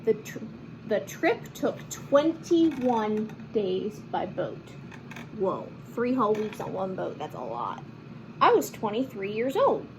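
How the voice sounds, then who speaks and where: A woman reading a picture book aloud to children in an expressive, animated manner, with chatty asides and simple explanations. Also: medium pitch, takes it slowly, noisy background. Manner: animated, acts out lines and explains words to children. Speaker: children's read-aloud host.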